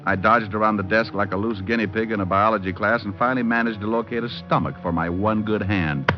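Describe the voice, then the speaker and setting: An actor playing a cocky, wisecracking hardboiled private detective who narrates with deadpan humor in an old-time radio drama. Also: male voice, lowish voice, fairly quick, lightly acted. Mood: wry narration. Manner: deadpan, flippant wordplay in narration and dialogue. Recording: muffled old radio recording with music.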